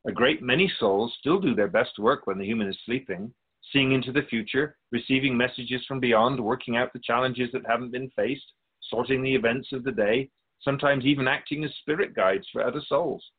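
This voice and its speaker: A man playing a patient, all-knowing keeper of souls in a radio drama, explaining things in a measured, gently wry way. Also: mid-range voice, slightly rough, phone-quality sound, close to an everyday voice. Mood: calm, probing. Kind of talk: scripted radio drama dialogue.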